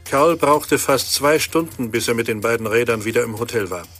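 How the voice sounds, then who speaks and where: A man's voice: mid-range voice, a little fast, a bit bright, faint music behind, lightly acted. A man reading scripted narration for an audio play.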